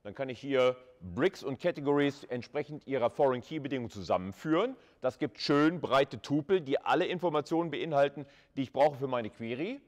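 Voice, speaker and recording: A man's voice: medium pitch, expressive, brisk, hardly any pauses. A man giving a live university lecture, explaining informally and conversationally with rhetorical questions to his students. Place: classroom with chalkboard.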